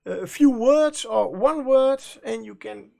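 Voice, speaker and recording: Dutch accented streamer, super gravelly creaky voice, slow hesitant rambly chat while painting minis. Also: mid-range voice, lively intonation, darkish tone. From Netherlands. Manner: hesitant, rambling, self-correcting. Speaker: livestream host and miniature painter.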